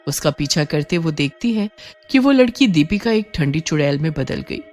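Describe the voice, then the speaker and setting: A woman's voice: low voice, lively intonation, moderate pace, darkish tone. Female horror story narrator over music.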